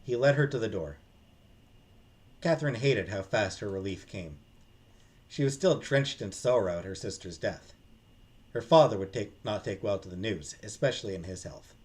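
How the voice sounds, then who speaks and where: Man narrating with lively, animated pitch. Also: normal speed. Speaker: game streamer. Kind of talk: dramatic read-aloud narration of a visual novel.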